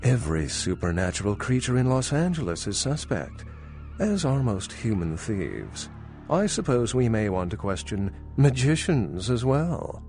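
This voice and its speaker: A centuries old vampire professor from a video game, super expressive, skeptical, kinda snooty and lecturing, faint music behind. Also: male voice, medium pitch, unhurried. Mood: dryly suspicious. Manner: articulate, lecturing, wry, pedantic. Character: centuries-old male vampire scholar, erudite, skeptical, dryly condescending. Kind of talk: video game dialogue acting.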